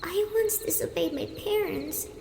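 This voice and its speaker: A woman's voice: very high voice, a little slow, gravelly, dark tone, roomy sound, in a large hall, slight background noise, pitched above the performer's own voice, heavily acted. A woman voicing the sorrowful ghost of a lost little girl, plaintive and rhyming, in an ASMR ghost story. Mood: mournful.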